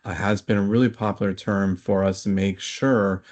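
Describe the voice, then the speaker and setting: A man explaining technical material as an interview guest, in a measured, hesitant manner. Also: lowish voice, fairly even intonation, darkish tone, dull audio.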